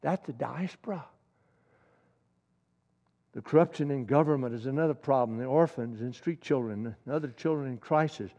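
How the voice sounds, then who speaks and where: A man's voice: a little high, creaky, darkish tone, in a large hall, lo-fi sound. A middle-aged man preaching a sermon with passion, repeating key lines and building to emphatic peaks.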